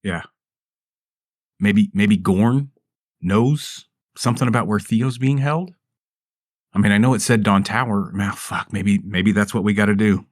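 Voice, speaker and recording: A man's voice: fairly low pitch, a little slow, long pauses, no echo, clean studio sound. A man playing a tabletop role-playing game, chatting casually in slangy, sweary language.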